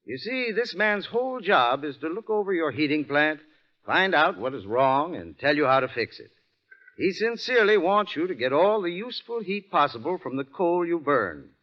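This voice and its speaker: An American man delivers a folksy 1940s radio commercial as a product expert. His fairly high voice rises and falls a lot, earnest and reassuring. The vintage recording is muffled. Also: sing-song, moderate pace. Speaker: heating expert pitching a sponsor's product.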